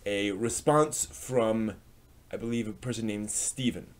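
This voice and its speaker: A man's voice: fairly low pitch, unhurried. A man hosting a live stream with casual, rambling chat and hesitant asides.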